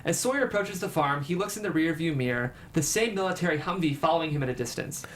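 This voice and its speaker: Narrator for screenplay table read, scripted stage directions, middle pitch, some background noise. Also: animated, quick pace.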